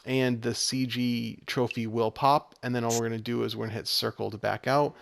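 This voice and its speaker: A man's voice: mid-range voice, level tone, brisk, gravelly. A man giving step-by-step walkthrough commentary as the host of a video game guide. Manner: explanatory, step-by-step instruction. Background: game music.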